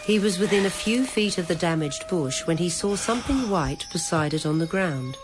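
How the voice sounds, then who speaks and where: A woman narrating a literary story in a measured, deliberate, dramatic style. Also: lowish voice, lively intonation, over music.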